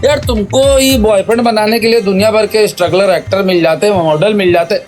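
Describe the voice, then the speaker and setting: An actor playing a cocky, suspicious young tea seller with a Bihari accent and colloquial, blustering way of talking in an audio drama. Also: male voice, high voice, quick pace, faint music behind, close to an everyday voice. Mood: boastful, doubtful.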